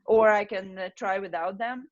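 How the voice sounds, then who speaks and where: A woman, a running coach answering interview questions in a halting way over a video call. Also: medium pitch, steady tone, dull audio. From Ukraine. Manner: hesitant, halting, many fillers. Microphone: video call with earbuds.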